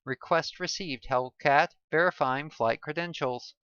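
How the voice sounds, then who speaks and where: Calm official flight controller, voiced by a narrator, crisp and unhurried. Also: mid-range voice, no echo, muffled recording, close to an everyday voice. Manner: formal, procedural.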